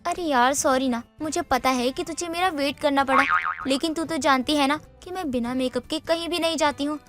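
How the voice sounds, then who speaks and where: Casting: vain, slangy cartoon college girl, extremely high and sharp, quick to take offence. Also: faint music behind. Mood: breezy, defensive. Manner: slangy, defensive, exaggerated. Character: vain, touchy college girl obsessed with makeup. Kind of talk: Hindi animated moral story dialogue.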